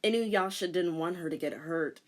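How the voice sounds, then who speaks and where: A woman's voice: lowish voice, normal speed. A woman narrating a comic dramatic reading with teasing, theatrical commentary.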